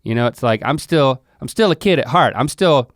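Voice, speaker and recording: An easygoing man in a casual chat, talking in a relaxed, philosophical way. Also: medium pitch, a little fast, no room sound, clean studio sound.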